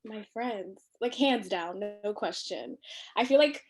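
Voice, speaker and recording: A young woman from Ethiopia talks in an animated, casual way, with plenty of "like" and "um" between her thoughts. Her voice sits at an ordinary middle pitch. She speaks over a video call from a living room at home. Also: a little slow. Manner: chatty, animated, lots of fillers and laughter. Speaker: podcast guest. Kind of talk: casual conversation.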